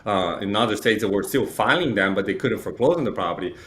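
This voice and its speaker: A man's voice: fairly low pitch, lively intonation, fast talker, a bit bright. Conversational man from Chile, explaining. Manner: explanatory, uses frequent "right?" tags. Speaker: interview guest, real estate data provider. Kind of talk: conversational interview talk.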